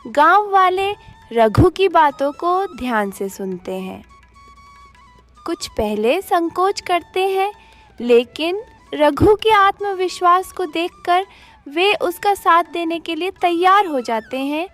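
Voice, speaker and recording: A woman narrates a cartoon moral story in a high voice. She takes a slow, storytelling pace, with faint music underneath. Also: expressive, fairly bright tone.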